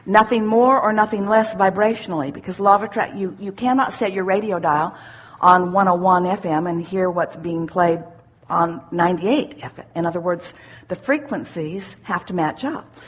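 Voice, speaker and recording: Husky woman teaching deliberately. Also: lowish voice. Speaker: spiritual teacher answering audience questions. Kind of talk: live spiritual seminar talk, unscripted question and answer.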